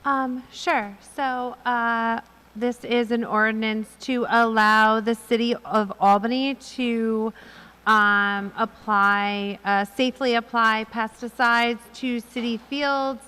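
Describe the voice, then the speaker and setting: A woman councilmember with a bright, crisp voice that sits fairly high gives a brief introduction of legislation. She fills her sentences with ums. A long hall reverb hangs on her voice. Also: fairly even intonation, slow pace. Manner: brief, filler-laden. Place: council chamber.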